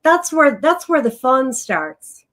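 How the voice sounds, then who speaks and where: A woman's voice: sits high, big pitch swings, takes it slowly, a bit bright. A woman in a casual chat, speaking enthusiastically and rephrasing as she goes.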